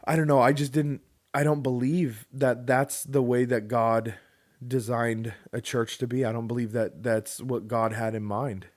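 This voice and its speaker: A man's voice: medium pitch, fairly even intonation, unhurried, darkish tone. A man in his thirties, co-hosting a podcast, who tells a personal story in a rambling way with many restarts and joking asides. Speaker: podcast co-host. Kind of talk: casual storytelling chat.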